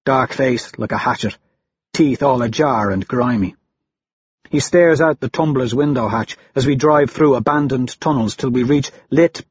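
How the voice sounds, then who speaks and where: A man's voice: mid-range voice, husky, no room sound, highs cut off. A man reading a dramatic first-person story as an audiobook narrator, grave and brooding in his delivery.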